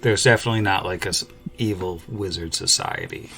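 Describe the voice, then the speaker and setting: A man's voice: low voice, brisk, a crowd in the background. A man chatting casually as the game master on a podcast panel. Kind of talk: casual chat.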